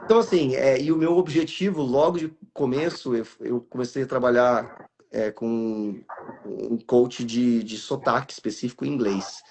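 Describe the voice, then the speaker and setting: A man's voice: medium pitch, steady tone, normal speed, darkish tone, a little hiss or hum, low-quality recording. A Brazilian actor in his thirties, speaking in a casual live interview and telling his career story with many restarts and fillers. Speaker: guest actor and voice actor.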